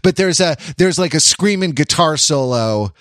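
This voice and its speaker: A man's voice: fairly high pitch, expressive, normal speed, a bit bright, dry recording, clean studio sound. A man chatting casually on a panel podcast, hesitant, jokey and self-deprecating. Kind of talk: casual chat.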